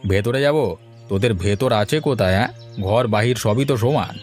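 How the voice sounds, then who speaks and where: A man's voice: medium pitch, a little mellow, soft background music, natural voice. A man voicing a snobbish middle-aged father in a cartoon, brusque and grumbling, with disdain for the poor. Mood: disdainful. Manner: brusque, sneering, quick to grumble. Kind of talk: Bengali cartoon voice acting.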